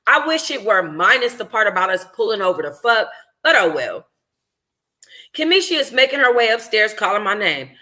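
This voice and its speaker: A woman reading a first-person novel aloud informally as a book club host, in an expressive, conversational, slangy way. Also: mid-range voice, moderate pace.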